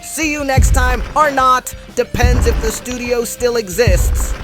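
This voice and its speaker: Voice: medium pitch, a little slow, over loud music, natural voice. A performer voicing a sarcastic, melodramatic and self-mocking puppet host in a comedy show. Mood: mock despair.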